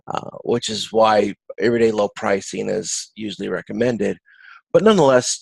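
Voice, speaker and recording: A man giving an informal online lecture as an instructor, explaining in a halting way and often rephrasing himself. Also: on the low side, vocal fry, no echo, rough recording. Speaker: course instructor. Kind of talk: informal recorded video-conference lecture with slides.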